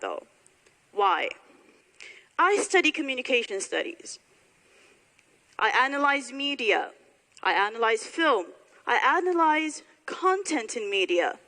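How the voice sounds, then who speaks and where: A woman's voice: sits high, bright, forceful tone. A woman from Somalia giving a public speech to graduates, speaking emphatically and building points through rhetorical repetition. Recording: hall reverb, faint music.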